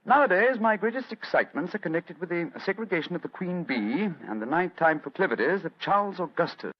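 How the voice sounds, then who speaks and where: An actor playing an aging, retired British detective with a keen, commanding, theatrical manner in an old radio drama. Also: male voice, high voice, animated, fairly quick. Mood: wry, reflective. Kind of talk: 1940s radio acting. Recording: dull old radio recording.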